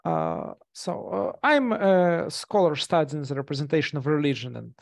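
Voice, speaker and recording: A man's voice: fairly high pitch, sing-song, moderate pace, creaky, dry recording, studio quality. A man, giving an academic talk over a video call on a headset mic, hesitant with many fillers and restarts. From Russia. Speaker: conference presenter. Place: small room indoors. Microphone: gaming headset.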